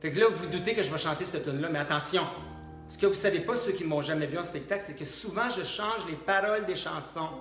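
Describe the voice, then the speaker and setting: A man's voice: a little high, quick pace. A middle-aged man with a Quebec French accent, a cabaret drag diva chatting and joking with a talkative, theatrical, colloquial delivery in a live show. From Quebec, Canada. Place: indoors in pianist's home, live online show. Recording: roomy live stream with piano music. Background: piano music.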